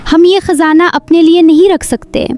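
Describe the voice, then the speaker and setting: A woman's voice: very high-pitched, fairly even intonation, moderate pace, darkish tone, soft background music. A woman playing a sensible, brave girl leading a treasure hunt in a children's cartoon story. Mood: earnest.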